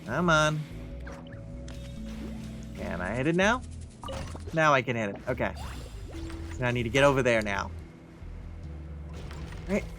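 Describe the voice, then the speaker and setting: A man's voice: medium pitch, animated, unhurried, pauses often, bright, forceful tone, soft background music. A man giving casual let's play commentary as he reacts to a video game.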